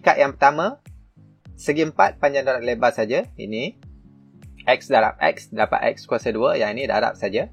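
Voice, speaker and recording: A man's voice: mid-range voice, gravelly, breathy edge, lo-fi sound. A man with a Malaysian Malay accent teaching maths, working through textbook examples step by step with fillers and self-corrections. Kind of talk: explaining a textbook lesson.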